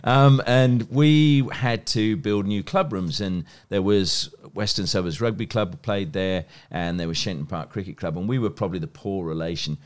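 A man's voice: low-pitched, moderate pace, hardly any pauses, smooth. A man in his fifties from England's West Country with an educated English accent, telling stories conversationally as an interview guest. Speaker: guest, sports journalist and broadcaster. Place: small plain indoor room. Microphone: close microphone on a stand.

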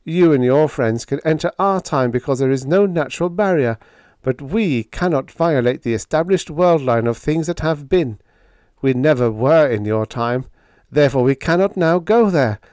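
An earnest young male lieutenant from pulp science fiction, acted out by a male narrator. His voice rides high and swings widely, with formal, urgent emphasis. The sound is dry and close. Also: expressive, normal speed, darkish tone, no room sound, close to an everyday voice.

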